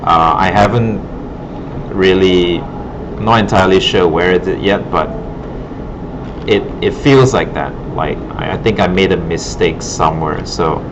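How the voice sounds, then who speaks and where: A man teaching informally as an instructor, thinking aloud in a hesitant way, recorded in a classroom. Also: low-pitched. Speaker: digital art instructor. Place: indoor classroom. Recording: muffled, noisy classroom recording with air-conditioning hum.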